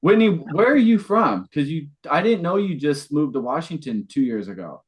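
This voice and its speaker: A man's voice: a little high, lively intonation, moderate pace. A man hosting a podcast, interviewing guests in a casual, conversational way. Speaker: podcast host.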